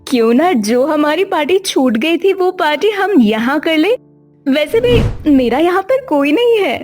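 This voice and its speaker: A woman's voice: high-pitched, big pitch swings, unhurried, a little mellow, soft background music. A woman voicing Nisha, a talkative young wife who likes to complain, in a cartoon story. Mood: chatty. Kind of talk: cartoon voice acting.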